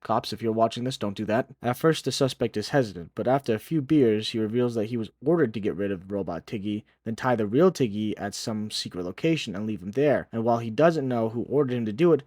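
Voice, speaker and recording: A man's voice: lowish voice, expressive, fast, hardly any pauses, a little mellow, dry recording, studio quality. A man hosting a video, reading scripted recap commentary. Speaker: video host.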